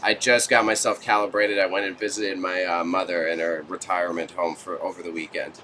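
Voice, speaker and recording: A man's voice: low voice, level tone, a little fast, pushed and bright, a little hiss or hum. A Canadian man chatting casually with viewers as a livestream host, with slangy, joking banter. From Toronto, Canada. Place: small warehouse space stacked with goods.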